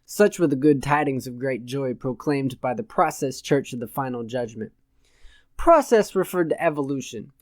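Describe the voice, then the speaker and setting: A man's voice: medium pitch, expressive, normal speed, no room sound, studio quality. A man narrating satirical fiction as a scripted audiobook reading.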